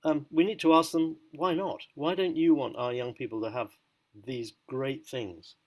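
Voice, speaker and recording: A man's voice: fairly high pitch, steady tone, moderate pace. A man speaking enthusiastically and persuasively on an online panel. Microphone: video call.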